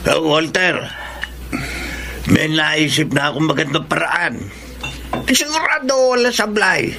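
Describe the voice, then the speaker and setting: A stubborn older Filipino father talks in a gravelly, forceful voice, slightly high for a man. He is bossy, with a Filipino accent, over faintly noisy ambience. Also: takes it slowly, full character voice. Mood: scheming, jovial. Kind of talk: Filipino radio drama acting.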